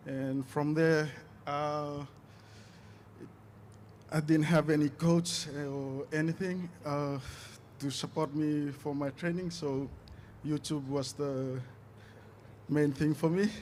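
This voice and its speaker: A man answers interview questions as an athlete at a live event. He speaks slowly and haltingly, with frequent hesitations and little movement in pitch. There is faint noise in the background. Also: fairly even intonation, darkish tone. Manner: hesitant, halting with many long pauses. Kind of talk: unscripted on-stage interview answers.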